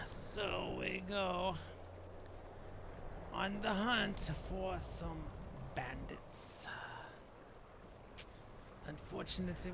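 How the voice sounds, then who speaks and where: A man voicing a proud, stubborn male dark elf shaman in a fantasy game roleplay, musing haltingly in formal, old-fashioned phrasing. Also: a little high, gravelly, background noise. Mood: musing, wry. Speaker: gameplay host roleplaying in character. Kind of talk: improvised in-character fantasy game narration.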